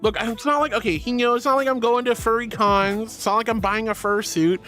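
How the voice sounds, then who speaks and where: A woman's voice: on the high side, steady tone, a little fast, a bit bright, soft background music. A woman streamer chatting casually with her viewers, rambling and giggly, often thanking them. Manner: rambling, giggly, talks straight to chat. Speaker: VTuber streamer. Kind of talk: casual livestream chat.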